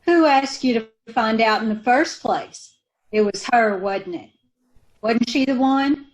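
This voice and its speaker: Woman acting a resentful sister, folksy broken grammar, somewhat high voice, old-time radio drama. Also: unhurried, lightly acted, low-quality recording. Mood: bitter. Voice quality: folksy ungrammatical speech, resentful. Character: bitter poor woman, protective sister. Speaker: radio actor.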